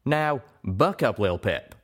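A man voicing a gruff, protective male pegasus cowpoke with an American Southern accent in an audiobook. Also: lowish voice, lively intonation, slow pace, fairly bright tone, exaggerated voice. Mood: gentle coaxing. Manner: drawling, folksy scolding. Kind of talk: audiobook character voice.

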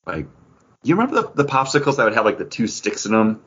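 A man with a husky voice chatting casually as the host of a podcast. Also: on the low side, sing-song, fast talker, a bit bright. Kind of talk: casual chat. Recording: dull lo-fi audio.

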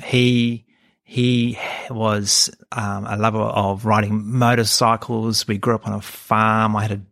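A man's voice: lowish voice, level tone, measured pace, vocal fry, a bit bright. A middle-aged man with a New Zealand accent, a studio guest chatting about his background in an easygoing, rambling way. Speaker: guest, audio engineer and photographer. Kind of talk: casual interview chat. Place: recording studio.

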